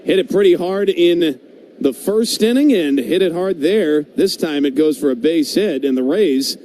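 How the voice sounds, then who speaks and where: American man calling baseball, mellow voice. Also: a little high, expressive, measured pace, a little hiss or hum. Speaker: baseball play-by-play announcer. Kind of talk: live radio sports commentary. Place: stadium broadcast booth.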